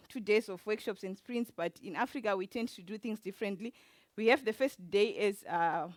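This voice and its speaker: A woman with a Zimbabwean accent presents informally as a conference speaker. Her pitch sits in the middle range, and her phrasing is halting, broken by frequent filled pauses. The sound is dry, with little room in it. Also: no room sound. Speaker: conference speaker, event organizer. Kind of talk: informal lightning talk presentation. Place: conference talk before an audience.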